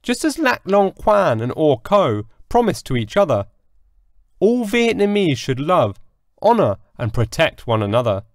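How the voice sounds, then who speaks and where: Male audiobook narrator for fairy tales: unhurried, measured storybook read, close dry sound. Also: fairly high pitch, very animated, no echo. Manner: measured, storybook delivery with deliberate pauses. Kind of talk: scripted fairy tale narration.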